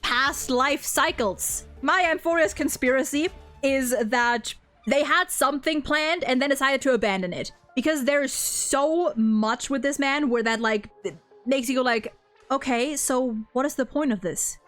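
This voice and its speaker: High-voiced opinionated woman, casual chat. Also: normal speed, a bit bright, faint music behind. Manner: emphatic, opinionated, rambling tangents, swears. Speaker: host of a video game story ranking discussion.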